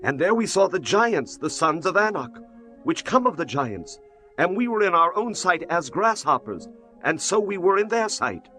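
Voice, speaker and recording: Ancient Israelite scout played by a man: earnest, bold, declamatory, animated range, faint music bed, scripture drama. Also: high voice, normal speed, exaggerated voice. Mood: alarmed.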